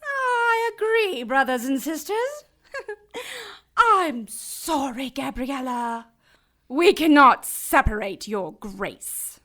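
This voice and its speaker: A woman playing a cold, mocking celestial being in an audio drama, passing judgment in a grandiose, drawn-out manner. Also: sits high, very animated, bright, forceful tone, heavily acted. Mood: mock sorrow.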